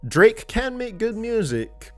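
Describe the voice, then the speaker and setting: A man's voice: high voice, very animated, takes it slowly, a bit bright, soft background music. A man giving casual album reaction commentary as a reviewer and host, in a blunt, sardonic, mildly profane way.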